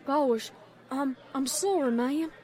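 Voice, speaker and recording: A woman voicing an excitable, good-hearted young farm pony mare with a folksy, earnest manner. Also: high voice, slow. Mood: apologetic.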